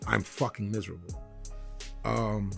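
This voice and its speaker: A man with a gravelly, creaky voice talks candidly about himself as a recording artist. He is halting and blunt, thinking aloud, with faint music underneath. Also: on the low side, fairly even intonation, fairly bright tone. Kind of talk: candid interview talk.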